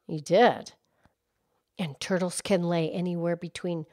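A woman voicing a calm, knowledgeable grown-up cow puppet, speaking in a soft, measured, teacher-like way in a children's puppet show. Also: on the low side, no room sound, clean studio sound, lightly acted. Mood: calm, informative.

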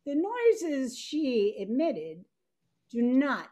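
A woman's voice: high-pitched, big pitch swings, very slow, dark tone. An older woman reading scholarly narration over a video call from a room at home. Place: living room at home, indoors.

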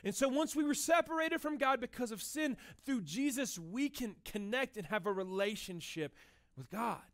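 A man in his thirties, a pastor, giving a conversational, animated sermon to his church, tossing in quick "right?" asides. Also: very high-pitched. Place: church stage with band gear.

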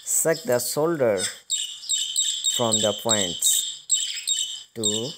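A man hosting a hands-on electronics repair tutorial, explaining step by step in a halting manner. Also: on the low side, expressive. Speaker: host of electronics repair channel. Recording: clearly noisy recording with clicking.

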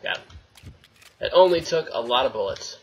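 A man's voice: medium pitch, expressive, moderate pace. A young man giving casual, jokey let's play commentary, rambling to himself and the game as he plays. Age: young adult. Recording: dull lo-fi mic, faint noise.

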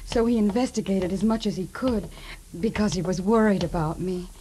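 A woman's voice: medium pitch, normal speed, dark tone, close to an everyday voice. An actress playing an earnest, sweet young American woman in trouble, slightly hesitant, in 1940s radio drama style. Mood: earnest, confiding. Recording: faintly noisy vintage 1940s radio recording.

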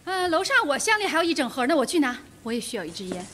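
A woman's voice: high voice, sing-song, very fast, a bit bright, a little hiss or hum. An actress playing a frightened young woman secretary in a radio drama. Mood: uneasy, subdued.

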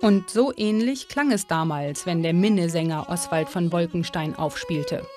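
A woman's voice: fairly low pitch, moderate pace. Woman narrating a documentary over music. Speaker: documentary presenter.

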